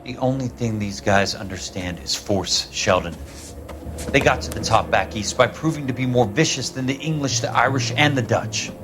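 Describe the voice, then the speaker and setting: An actor playing a hard-bitten war veteran who talks bluntly and scornfully. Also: male voice, medium pitch, normal speed, noisy background, close to an everyday voice. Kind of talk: video game cutscene acting. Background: music score.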